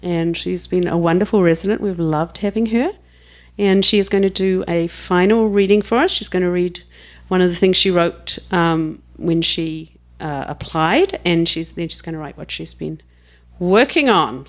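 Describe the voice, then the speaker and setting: A woman's voice: on the low side, moderate pace. Chatty New Zealand woman hosting. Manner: chatty and jokey, laughing between remarks. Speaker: host introducing a reader. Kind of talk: casual spoken introduction at a live reading.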